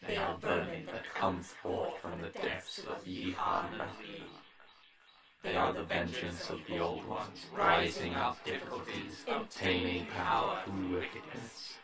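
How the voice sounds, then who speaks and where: A man's voice: low voice, very animated, unhurried, over a noisy crowd. A man voicing an ominous ancient sea demon, chanting solemnly like a ritual in a horror audio drama. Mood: menacing. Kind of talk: ritual incantation. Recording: echoing, distant, crowded layered background sound.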